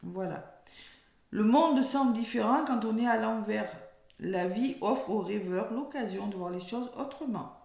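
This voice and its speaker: French woman reader, hoarse and softly spoken, gravelly fry, echoey room. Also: fairly high pitch. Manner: soft-spoken, slow and measured when reading cards aloud. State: losing her voice, hoarse. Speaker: tarot card reader. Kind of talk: weekly horoscope card reading.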